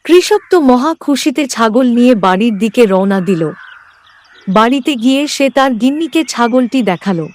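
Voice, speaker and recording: A woman's voice: fairly high pitch, animated, moderate pace. A woman narrator reading a scripted cartoon horror tale as a storyteller.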